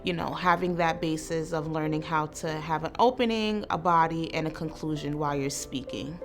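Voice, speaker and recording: A woman with a New York accent, a student in an interview testimonial, explaining calmly. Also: sits low, moderate pace. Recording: soft music underneath. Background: background music.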